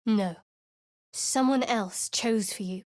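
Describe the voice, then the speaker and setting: A guarded, sardonic young cleric with a secret in a video game, her voice a touch higher than most women's. She delivers every line dry and cutting, and the studio sound is close and completely dead. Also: slow, darkish tone, no echo. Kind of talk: video game character dialogue. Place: studio voice booth.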